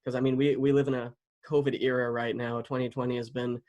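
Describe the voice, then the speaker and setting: A man's voice: mid-range voice, level tone, quick pace, a little mellow, highs cut off. A man interviewing a guest in a casual, informal way, often chuckling as he talks. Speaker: interviewer, content creator.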